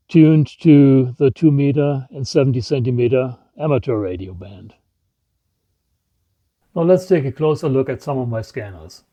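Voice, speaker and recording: A man narrating a scripted equipment demonstration in a measured, matter-of-fact, explanatory way. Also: medium pitch, mellow. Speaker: presenter, radio scanning enthusiast.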